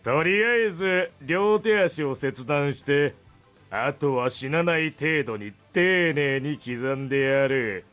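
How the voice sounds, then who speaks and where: An actor playing a sadistic, bloodthirsty male villain in a visual novel, taunting and menacing in rough speech. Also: a little high, lively intonation, moderate pace, a bit bright, faint music behind. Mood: gloating, menacing. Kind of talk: Japanese visual novel voice acting.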